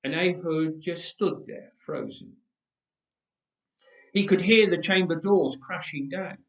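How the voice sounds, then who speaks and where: A man reading a dramatic story aloud with measured, emphatic delivery and dramatic pauses. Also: a little high. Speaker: storyteller, reader. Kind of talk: dramatic scripted story narration. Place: roomy church interior. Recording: echoey church, distant microphone.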